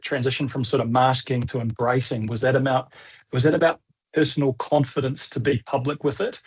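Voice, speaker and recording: A man moderating a panel, his voice slightly husky. He frames his questions at length, in a relaxed, conversational way. It sounds like he is on a video call. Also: medium pitch. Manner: conversational, asks long rambling questions. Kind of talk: webinar panel discussion.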